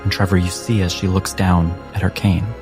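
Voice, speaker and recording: A man narrating as game master in a dramatic, measured, suspenseful style with long pauses. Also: low-pitched, flat delivery. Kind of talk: dramatic second-person tabletop narration. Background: background music.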